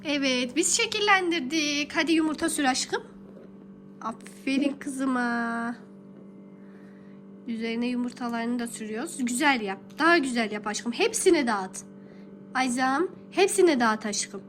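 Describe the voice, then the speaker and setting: A woman's voice: high voice, a little slow, fairly bright tone, slight background noise. A young woman, a mother talking casually and affectionately to her small child, encouraging with pet names and repeated phrases. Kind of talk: casual coaxing talk to a small child while baking.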